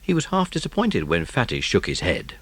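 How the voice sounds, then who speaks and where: British male narrator, low voice, animated intonation, scripted audiobook reading, dry studio sound. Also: a little fast, slightly rough, dry recording.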